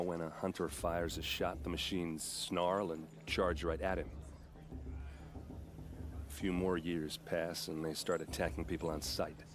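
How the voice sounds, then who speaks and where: An actor playing a friendly, chatty young warrior who boasts about his tribe and his steel armor. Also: low voice. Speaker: soldier in a king's vanguard. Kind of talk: video game cutscene dialogue. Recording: game audio with ambient noise.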